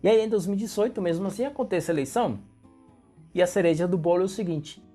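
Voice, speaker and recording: A young man with a Venezuelan Spanish accent gives political commentary in a somewhat husky voice. He is a native Spanish speaker who talks in an emphatic, explanatory way, straight to his viewers. Soft guitar music plays faintly behind him. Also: high voice, quick pace.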